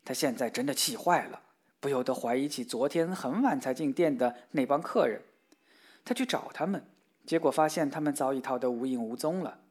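A storyteller reading a children's fairy tale aloud in a steady, expressive way. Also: medium pitch, a little fast.

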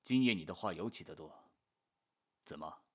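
A man playing a cool, guarded imperial official, terse and quietly domineering, in a Chinese costume audio drama. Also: low-pitched, animated, moderate pace. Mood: cool, quietly menacing. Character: cool, commanding male imperial court official, possessive and guarded.

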